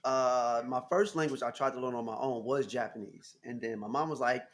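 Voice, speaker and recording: An American man in his thirties, a guest musician telling stories in a casual interview, animated and laughing often. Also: moderate pace. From United States. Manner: animated, laughing, jumps into high voice for quotes.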